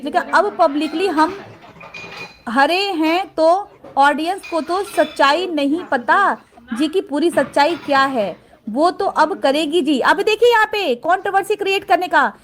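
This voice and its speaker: A woman's voice: sits high, fairly bright tone. A woman doing casual vlog commentary, reading messages aloud and addressing viewers directly in an indignant, emphatic manner.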